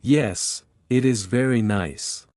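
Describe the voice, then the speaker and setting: A man reading one side of a scripted English lesson dialogue, speaking in simple sentences with deliberate, even spacing. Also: medium pitch, dry recording, clean studio sound. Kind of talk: slow scripted practice dialogue.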